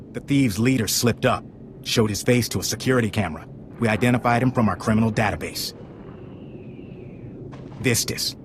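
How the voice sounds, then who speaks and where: A man's voice: on the low side, a little hiss or hum, lightly acted. A man playing a grave intelligence agent in a video game, giving clipped, matter-of-fact briefings. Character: businesslike male intelligence agent, terse and grave. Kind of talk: video game dialogue acting.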